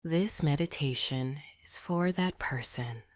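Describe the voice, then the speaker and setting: A woman narrating a scripted sleep meditation in a soft, breathy near-whisper, gentle and deliberate. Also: low voice, no echo, clean studio sound.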